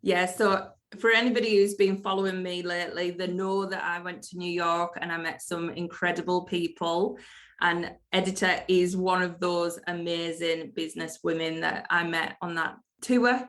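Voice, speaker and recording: A woman's voice: lowish voice, a bit bright. A woman hosting a podcast interview, upbeat and eager, praising with words like incredible and brilliant. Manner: upbeat, eager, leans on superlatives. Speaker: podcast host. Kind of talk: casual interview chat.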